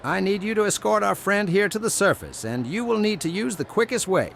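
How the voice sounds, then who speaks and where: A man voicing a plump old gnome elder with a creaky voice and quaint, deliberate, formal phrasing, in a fantasy audio story. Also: sits high, animated, fairly bright tone, slight background noise, heavily acted. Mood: brisk, commanding.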